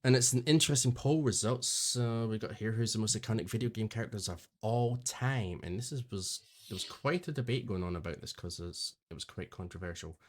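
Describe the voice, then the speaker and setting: A man's voice: lowish voice, a little fast, hardly any pauses. Male podcast host, easygoing banter, light self-mocking humor. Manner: chatty, joking, self-deprecating. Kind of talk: casual chat.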